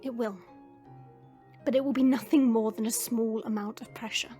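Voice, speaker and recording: A woman playing a regal dragon queen in a scripted audio roleplay, earnest and resolute, with formal, deliberate phrasing. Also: fairly high pitch, steady tone, mellow, close to an everyday voice. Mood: solemn reassurance. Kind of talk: scripted audio roleplay acting with first-person narration. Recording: music behind the voice. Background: background music.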